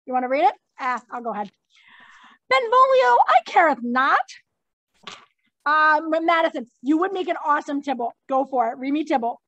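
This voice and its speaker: High-pitched woman directing a play reading. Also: moderate pace, no echo, rough recording. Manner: animated prompting with informal asides. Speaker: teacher leading a class read-through.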